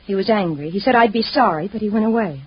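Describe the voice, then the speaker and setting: A woman's voice: mid-range voice, fast talker, breathy edge, lightly acted. An actress playing an anxious, earnest young woman in distress, pleading and hesitant, in 1950s radio drama style. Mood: confiding, troubled. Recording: muffled vintage radio recording.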